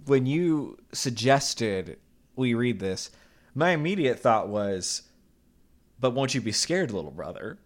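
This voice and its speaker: A man's voice: mid-range voice, big pitch swings, takes it slowly. A man co-hosting a casual podcast, introducing the topic and teasing his younger brother. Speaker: podcast co-host. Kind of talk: casual comedic chat.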